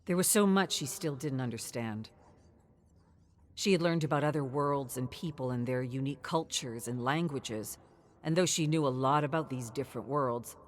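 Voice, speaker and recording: Low-voiced woman narrating dark lore. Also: slight background noise.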